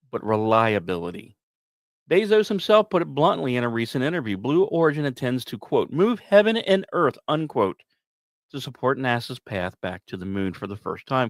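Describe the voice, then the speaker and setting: Male podcast narrator, mid-pitched and highly expressive, measured and emphatic with casual asides, dry recording. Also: dry recording. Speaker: podcast host. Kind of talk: scripted science news narration with casual asides.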